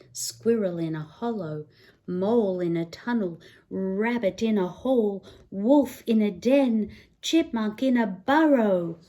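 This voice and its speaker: A woman reads a children's picture book aloud very slowly. Her higher-than-average voice swings expressively through sing-song phrases and questions. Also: dark tone. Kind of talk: children's read-aloud narration.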